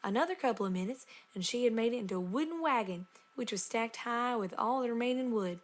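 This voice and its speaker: A woman's voice: on the high side, expressive, quick pace, close to an everyday voice. A woman voicing an earnest country farm pony, plainly reading a letter aloud. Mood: proud. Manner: folksy, plainspoken. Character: earnest, down-to-earth young country farm mare, cartoon pony.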